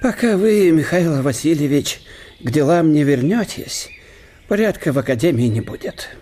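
Guy playing a jolly german professor in a radio play, high husky voice, swings up and down a lot. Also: lively intonation, moderate pace, dark tone, slight background noise, natural voice. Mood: confiding. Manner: chuckling, chatty and ingratiating. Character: cheerful obliging German professor, middle-aged man. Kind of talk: radio drama acting.

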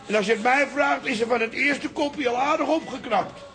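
A man's voice: extremely high pitch, brisk, bright, forceful tone. An actor playing an older, opinionated working-class father in a comic radio drama, blustering and muttering to himself. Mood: cheerful.

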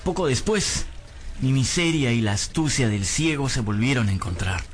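A man's voice: mid-range voice, expressive, moderate pace, a little hiss or hum, close to an everyday voice. An actor playing a poor, hungry servant boy who tells his misfortunes in a plaintive, theatrical way for a radio drama. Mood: rueful storytelling. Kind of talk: dramatic first-person radio narration. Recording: music bed behind voice.

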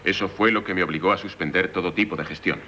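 An actor playing a proud, guarded imperial chamberlain of thirty-eight in 1970s radio theatre, curt and formal. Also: male voice, sits low, fairly even intonation, fast talker, sharp tone, a little hiss or hum. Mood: guarded, indignant. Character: proud, guarded 38-year-old male imperial court chamberlain.

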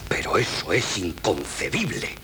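An actor playing a dry, put-upon doctor posing as a husband in a 1970s radio comedy, with an accent from Spain. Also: male voice, sits low, huge pitch swings, unhurried, pushed and bright, full character voice. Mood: aggrieved. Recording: noisy 1970s radio broadcast.

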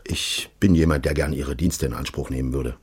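Very deep, husky shadowy official. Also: male voice, fairly even intonation, heavily acted. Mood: controlled, secretive. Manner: measured, formal, insinuating. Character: smooth-mannered, menacing, secretive official recruiting a detective. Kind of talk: radio crime drama acting.

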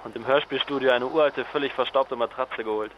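An actor playing a weary, worried journalist husband in a naturalistic radio drama, hesitant and reluctant. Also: male voice, medium pitch, fairly even intonation, brisk, natural voice. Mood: weary, reassuring. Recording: dull lo-fi link, clearly noisy background.

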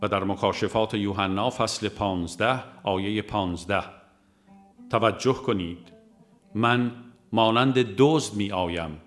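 A man reading a religious audiobook in a measured, formal and deliberate scripted narration. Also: on the low side, fairly bright tone. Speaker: audiobook reader.

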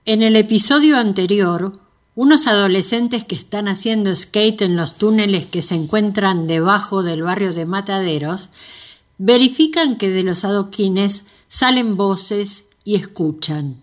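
A woman with a Rioplatense Argentine accent narrating a scripted radio play in a measured storytelling manner. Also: mid-range voice.

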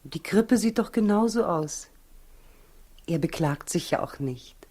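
An actress in a 1960s German radio play, playing Mary as a gentle, happy young new mother with a soft, breathy voice. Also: fairly low pitch, expressive. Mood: happy, tender. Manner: gentle, unhurried.

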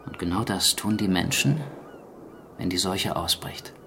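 A man playing a sober archaeologist in a radio drama, speaking in a measured, explanatory way. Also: low-pitched, expressive, sharp tone, a little hiss or hum, full character voice. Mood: grave, explanatory. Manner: measured, matter-of-fact, explanatory. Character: sober male archaeologist explaining a zombie plague. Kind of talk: naturalistic German radio drama acting.

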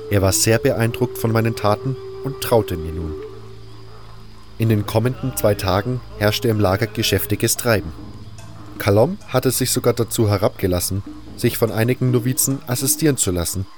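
A man's voice: lowish voice, a little mellow. A man reading scripted first-person narration for a fantasy audio drama.

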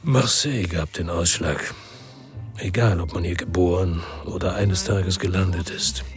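A man's voice: low voice, measured pace, soft background music. An actor playing a world-weary male ex-policeman who narrates his own crime story in a sober, laconic way for radio drama. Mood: brooding.

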